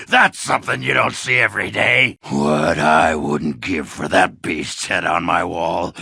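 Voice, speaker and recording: A male actor playing a gruff, crude, hard-drinking dwarf warrior in a video game, cranky and boastful, with a growling, sardonic, blustering delivery. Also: fairly low pitch, sharp tone, no room sound, clean studio sound, full character voice. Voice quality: gravelly, growling rasp. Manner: blustering, earthy swearing, sardonic. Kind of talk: video game character acting.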